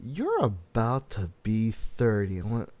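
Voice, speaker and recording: A man's voice: lowish voice, slow pace. A man in his late twenties from the United States, a podcast host chatting casually and acting out quoted dialogue in his stories. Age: late 20s. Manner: animated storyteller, acts out quotes. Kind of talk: casual chat.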